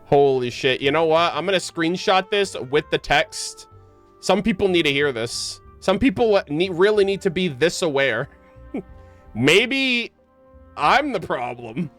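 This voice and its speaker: A man's voice: a little high, animated, measured pace, a bit bright, faint music behind. A man giving casual, slangy live reactions while playing a game, muttering asides on a close mic. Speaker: game streamer.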